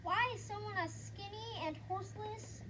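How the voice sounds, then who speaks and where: A man voicing a scrawny, mocking male outlaw sidekick in a squeaky, jeering, drawn-out voice, in a noisy room. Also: sharp tone.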